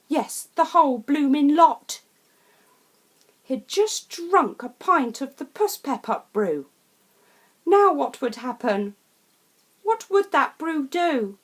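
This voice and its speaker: A woman reads a rhyming children's picture book in a theatrical, deliberate and emphatic way. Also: high voice, lively intonation. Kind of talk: expressive rhyming storybook narration.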